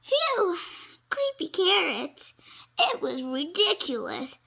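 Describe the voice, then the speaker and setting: A frightened little boy rabbit from a storybook, acted out by a woman. The voice leaps high and swings wildly, shrill and panicky with every exclamation. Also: big pitch swings, slow, creaky, bright, forceful tone, heavily acted. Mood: nervous relief. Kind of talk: children's storybook character voice.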